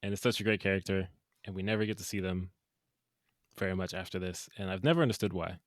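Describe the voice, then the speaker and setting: A man co-hosting a casual movie review podcast, animated and jokey with quick asides. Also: low-pitched, dry recording, studio quality. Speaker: podcast co-host.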